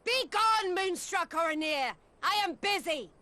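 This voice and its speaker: High-voiced, fierce warrior woman. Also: animated, a little slow, pushed and bright, dry recording, full character voice. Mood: scornful. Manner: declamatory, archaic formal speech. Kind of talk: video game voice acting. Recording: game music behind the voice.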